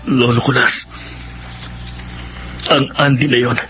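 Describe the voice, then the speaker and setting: A man's voice: medium pitch, flat delivery, slow, sharp tone, faint music behind. An actor playing a remorseful, intense young man in a radio drama, respectful to elders yet anguished. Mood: vengeful. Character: troubled, remorseful younger brother burning for revenge. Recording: dull lo-fi radio drama recording.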